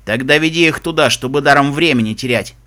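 A man voicing a grumpy, greedy zebra smuggler captain in an audiobook, with a hoarse, gruff voice and barking sailor talk. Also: mid-range voice, quick pace, pushed and bright, pitched below the performer's own voice, natural voice. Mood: brisk, bossy. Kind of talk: audiobook character voice.

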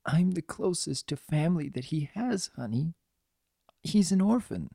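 Female pony nurse, played by a narrator: gentle, sorrowful, softly sympathetic storybook character, dry studio-close sound. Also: mid-range voice, expressive, normal speed, no room sound, natural voice. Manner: soft, gentle.

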